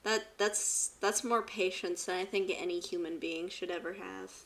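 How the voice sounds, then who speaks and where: A woman giving casual live gameplay commentary, expressive and repeating phrases when she gets flustered. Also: fairly low pitch, normal speed. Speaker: streamer. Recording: loud game music behind the voice. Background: video game music and effects.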